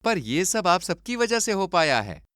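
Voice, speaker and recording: A man's voice: high-pitched, very animated, a little slow, a bit bright, no room sound, studio quality, exaggerated voice. Man playing an earnest young village engineer. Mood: grateful. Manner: sincere, polite.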